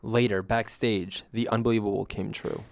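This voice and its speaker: Male actor as reflective storyteller, scripted narration, slightly deep, fry in the voice. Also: steady tone, normal speed. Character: former usher recounting his rise, reflective. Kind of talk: scripted first-person narration.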